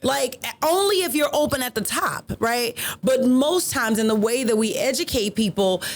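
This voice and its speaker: A woman's voice: on the high side, lively intonation, moderate pace. Earnest woman preaching prosperity mindset. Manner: earnest, emphatic, repeats phrases. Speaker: podcast co-host. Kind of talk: casual motivational chat.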